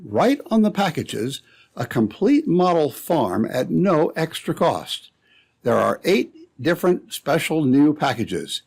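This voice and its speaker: A man's voice: a little high, breathy edge. A man narrating as an old-time radio announcer, with scripted, dramatic and emphatic delivery.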